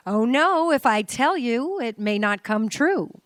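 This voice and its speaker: Sweet high-voiced young sweetheart. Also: female voice, animated, unhurried, no echo, studio quality. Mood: sweet, teasing. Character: sweet, demure small-town young woman, quietly teasing. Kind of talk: live radio play acting.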